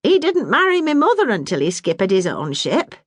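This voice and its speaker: A woman voicing a middle-aged mother, bossy but warm-hearted, in a brisk, scolding dialect. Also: mid-range voice, wildly swooping pitch, no room sound, clean studio sound, voice pushed up. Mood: fond.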